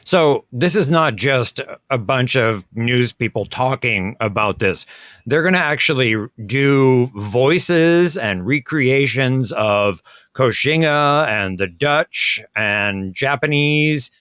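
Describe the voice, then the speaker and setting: A man's voice: medium pitch, lively intonation, slow pace, fairly bright tone, smooth, no room sound, studio quality. A man co-hosting a podcast, chatting in a rambling, enthusiastic way. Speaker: podcast co-host. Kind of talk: casual chat.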